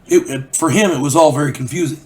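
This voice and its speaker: A man's voice: on the high side, lively intonation, fast talker, a little mellow. An older man, a channel host, telling a story in a folksy, rambling way while getting over a cold. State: recovering from a cold. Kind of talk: casual storytelling monologue.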